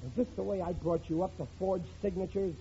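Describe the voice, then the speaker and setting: A man's voice: sits high, fairly even intonation, a little fast. An actor playing a blustering, bumbling working-class father in an old radio situation comedy, stammering out excuses in slangy, ungrammatical talk. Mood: indignant. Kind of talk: 1940s-50s radio situation comedy acting. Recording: dull old radio recording, faint noise.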